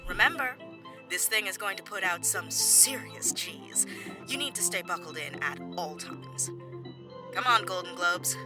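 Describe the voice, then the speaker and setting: Brash, playful gadget whiz, music behind. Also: female voice, fairly low pitch, expressive, a little slow. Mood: bossy. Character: brash, playful woman tech showing off her simulator. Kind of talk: scripted audio drama.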